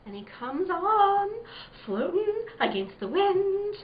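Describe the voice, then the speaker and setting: A woman's voice: very high-pitched, big pitch swings, slow, full character voice. An actress playing a haunted, fey young country girl in an old-time radio drama, with eerie, folksy, incantatory speech. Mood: eerie, trancelike. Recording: dull, echoey recording with background noise.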